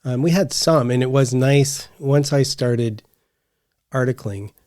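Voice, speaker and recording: A man's voice: medium pitch, a little slow, a little mellow, no echo, clean studio sound. A middle-aged man chatting casually as a podcast co-host. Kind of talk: casual conversational chat.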